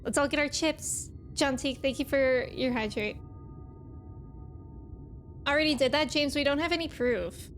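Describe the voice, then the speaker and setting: A woman's voice: sits high, moderate pace, long pauses, fairly bright tone, soft background music. A woman chatting casually and playfully as she livestreams a video game, reacting and greeting viewers.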